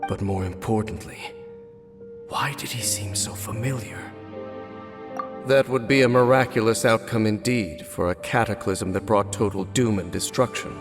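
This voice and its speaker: An actor playing a grave, world-weary ancient knight guide in a video game, speaking in a solemn, cryptic, formal way. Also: male voice, lowish voice, normal speed, lightly acted. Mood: troubled, musing. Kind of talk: dramatic video game voice acting. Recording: reverberant game audio under music.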